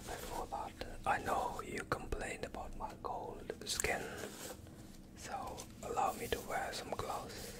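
A young man playing a gentle vampire doctor in an ASMR roleplay, whispering soothingly and reassuringly close to the microphone. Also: slow, lightly acted. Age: young adult. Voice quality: breathy whisper. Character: gentle, attentive male vampire doctor calming a patient. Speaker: ASMR performer.

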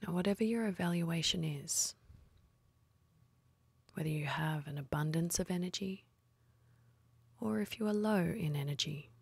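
A woman leading a guided meditation in a soft, husky, breathy voice, speaking slowly and soothingly with long pauses. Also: lowish voice, dry recording.

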